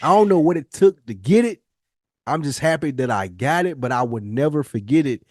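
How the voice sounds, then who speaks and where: A man's voice: a little high, expressive, fairly quick, a little mellow, dry recording. Slangy man in his forties, dry close sound. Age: 40s. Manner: slangy, profane, repeats phrases for emphasis. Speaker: podcast co-host. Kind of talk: casual chat, personal storytelling.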